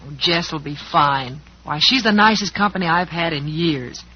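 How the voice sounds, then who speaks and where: Reassuring townsperson on old western radio, high husky voice, dull sound. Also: male voice, normal speed. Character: kindly, reassuring western townsperson helping a prisoner. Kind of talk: old-time western radio drama acting. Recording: muffled vintage radio broadcast.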